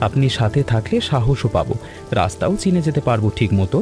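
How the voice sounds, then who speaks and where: Guy voicing worried earnest village man in a cartoon, medium pitch, music behind. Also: big pitch swings, quick pace, a little mellow, lightly acted. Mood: worried, grateful. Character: anxious village man fretting over his family. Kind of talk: Bengali animated story dialogue.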